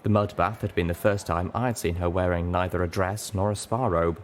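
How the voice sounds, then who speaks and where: A man's voice: low voice, fairly even intonation, a little fast, a little hiss or hum. A man reading scripted first-person fiction as an audiobook narrator. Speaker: audiobook reader.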